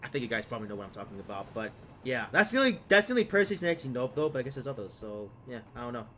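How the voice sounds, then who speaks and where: A man's voice: mid-range voice, sing-song, racing, fairly bright tone, slight background noise. Rambling young man narrating gameplay. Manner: rambling, many fillers and trailing asides. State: slightly stuffed up from a cold. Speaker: gaming video host. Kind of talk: casual gameplay commentary.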